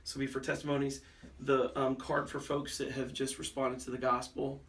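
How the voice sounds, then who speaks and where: A man's voice: mid-range voice, flat delivery, moderate pace, vocal fry, a bit bright, recorded from a distance. A man talking casually and colloquially as he explains his plans on a family vlog. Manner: folksy, informal, colloquial. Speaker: pastor, family vlogger. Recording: noisy handheld vlog recording.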